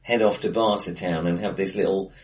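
A man co-hosting a casual film discussion, talking in halting stops and restarts with frequent ums and a ready laugh. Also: low voice, steady tone, far from the mic, rough recording. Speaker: podcast co-host. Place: acoustically bright room, face to face. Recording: bright room, voice a little off-mic.

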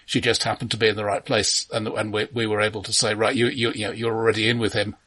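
A man co-hosting a casual film discussion, analytical, with a halting delivery that repeats the starts of words. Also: fairly low pitch, flat delivery, fairly bright tone. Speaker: film podcast co-host.

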